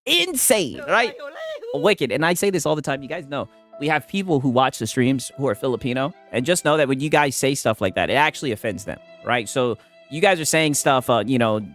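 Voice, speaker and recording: A man's voice: mid-range voice, expressive, a little fast, a bit bright, faint music behind. A man hosting a live stream, reacting to clips in a mocking way and tagging his sentences with "right?". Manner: mocking, laughing often. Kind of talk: casual live-stream commentary.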